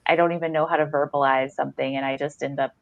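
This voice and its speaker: A woman's voice: low-pitched, fairly even intonation, quick pace, no room sound. A middle-aged woman co-hosting a podcast in an effusive, casual literary discussion over a headset. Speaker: podcast co-host. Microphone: headset on a video call. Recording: dull, lo-fi video call.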